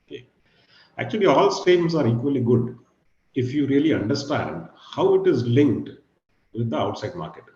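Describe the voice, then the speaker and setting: A middle-aged man with a husky, low voice giving an online guest lecture, pausing often with fillers and "okay". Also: expressive, darkish tone, reverberant, highs cut off. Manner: hesitant, many fillers and "okay". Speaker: guest speaker. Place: video call.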